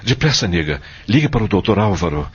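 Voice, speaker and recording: A man playing a middle-aged, caring and commanding father in an audio soap, speaking earnestly and haltingly. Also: fairly low pitch, sing-song, husky, exaggerated voice. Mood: urgent, alarmed. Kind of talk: audio soap opera acting. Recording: muffled, dull audio drama recording.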